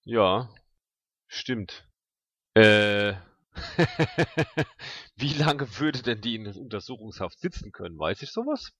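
A man's voice: on the low side, sing-song, slow, long pauses, a bit bright, no room sound. A man playing a tabletop role-playing game, chatting casually with the game master.

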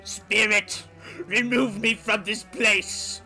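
A young man acts out a tormented old Victorian miser in a radio drama. The voice is very high and strained with a hard bright edge. He pleads slowly and theatrically, with music behind. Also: steady tone, full character voice. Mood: desperate. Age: teenage. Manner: anguished, theatrical.

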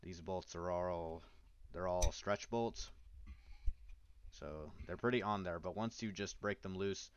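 A man's voice: sits low, fairly even intonation, slow, slight background noise. A man narrating a casual how-to video as its host, with wry, self-deprecating asides, in a voice-over. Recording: dull, lo-fi, dry voice-over.

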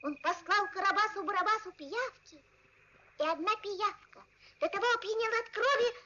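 An actor voicing a mournful, lovesick puppet boy poet, plaintive and declamatory, in a Soviet animated fairy-tale film. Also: very high voice, moderate pace, slight background noise. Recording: dull old film soundtrack, loud music.

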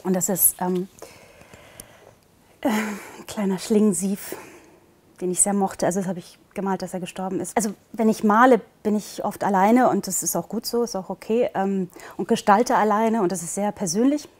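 Woman in her 30s from portugal, chatty casual self intro, medium pitch, lapel mic. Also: normal speed, a little mellow. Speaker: actress introducing herself. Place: indoor studio with dark backdrop.

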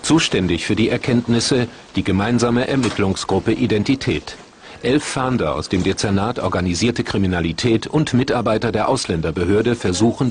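A man reading the scripted narration of a television documentary in an even, measured way. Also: lowish voice, slight background noise.

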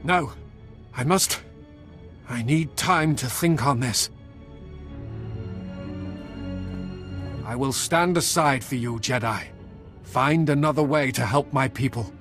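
Guy doing a young dark apprentice in a game, proud, solemn, medium pitch, music behind. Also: measured pace, lightly acted. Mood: conflicted. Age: young adult. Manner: solemn, defiant. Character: proud, conflicted young male Sith apprentice. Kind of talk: video game voice acting.

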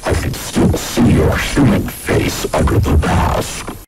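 A man playing a grim animatronic bear in a horror game, monotone, slow and threatening. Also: natural voice. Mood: flat menace. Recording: echoey, loud music behind.

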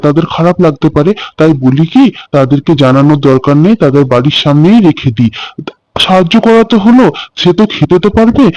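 A man's voice: on the high side, darkish tone, no echo, dull audio, lightly acted. A man playing a kindly, doting village father in a children's cartoon, chatty and affectionate. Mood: gentle. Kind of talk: Bengali children's cartoon story dialogue.

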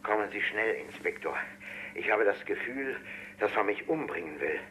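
Elderly frightened judge, urgent warning, radio drama, taped telephone, lively intonation, slight noise. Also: male voice, fairly low pitch, normal speed. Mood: fearful, urgent. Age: older. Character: fearful elderly judge, father-in-law, warning of his murder. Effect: tape-recorded telephone call. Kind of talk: German radio drama acting. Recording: noisy telephone call on tape.

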